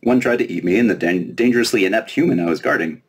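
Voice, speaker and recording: A man's voice: low-pitched, fast talker, slightly rough. A man voicing a smug, self-important young fairy princess with a flippant manner in a storybook reading. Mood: self-satisfied.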